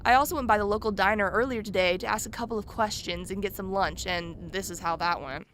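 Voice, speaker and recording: Woman playing chatty nosy true crime podcaster in a radio play, normal pitch, bit of background noise. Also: fast, fairly bright tone, natural voice. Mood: chatty, intrigued. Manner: informal, chatty storytelling.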